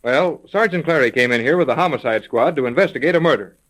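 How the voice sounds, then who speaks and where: A man's voice: mid-range voice, expressive, quick pace, a bit bright. A brash young male sleuth in an old radio mystery. His voice is mid-range. He talks plainly and directly, in a casual way. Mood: assertive. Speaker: actor. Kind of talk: 1930s radio acting. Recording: vintage 1930s radio recording.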